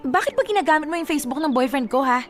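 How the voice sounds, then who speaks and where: A woman's voice: high-pitched, expressive, rapid-fire, faint music behind. An actress playing a nervous young woman girlfriend in a radio drama, defensive and uneasy. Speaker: supporting character.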